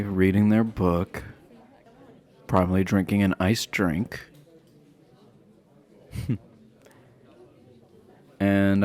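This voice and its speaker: Man playing a deep-voiced flirty himbo jock. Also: a little mellow. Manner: halting, bashful chuckling, teasing. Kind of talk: romantic roleplay audio acting, addressed to listener.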